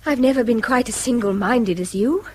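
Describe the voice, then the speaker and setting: An actress playing a restrained, wistful middle-aged English wife in a radio drama, with a breathy, husky edge. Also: female voice, fairly high pitch, normal speed. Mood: wistful, defiant.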